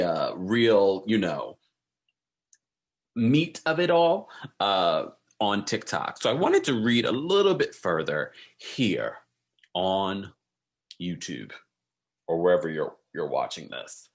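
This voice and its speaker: A man's voice: low-pitched, a bit bright. A man hosting an online video, chatting casually and conversationally straight to his viewers. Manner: conversational, addresses viewers directly.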